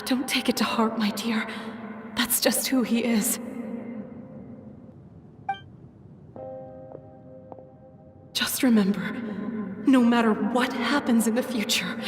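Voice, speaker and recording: A woman playing a gentle, dignified mother in a video game, speaking in a soft, tender, measured way. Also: a little high, fairly even intonation, natural voice. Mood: sad, tender. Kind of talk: dramatic video game voice acting. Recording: echoey, distant, music behind.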